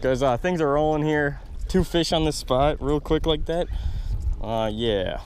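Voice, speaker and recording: A man in his thirties hosting a fishing vlog from a small boat on a windy lake, chatty and enthusiastic, recorded on an action camera. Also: fairly high pitch. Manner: enthusiastic, chatty, quick bursts of excitement. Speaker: fishing channel host. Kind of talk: excited outdoor fishing vlog.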